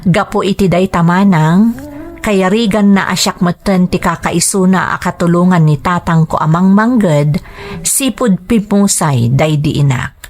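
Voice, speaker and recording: A woman's voice: on the low side, unhurried, darkish tone. A woman with an Ilocano accent reading greetings and letters as a scripted drama narrator. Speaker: narrator reading listener shout-outs and letters.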